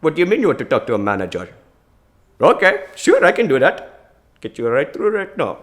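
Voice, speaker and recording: A man plays an eager, chatty tech-support worker with an Indian accent. He talks in a raised, bright voice and drifts off into bragging. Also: on the high side, wildly swooping pitch, fairly quick.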